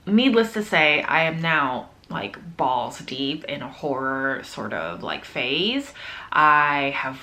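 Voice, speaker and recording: A woman's voice: sits low, sing-song, slow, pushed and bright. A woman chatting casually to viewers as a book vlogger, in an informal, effusive, slangy way.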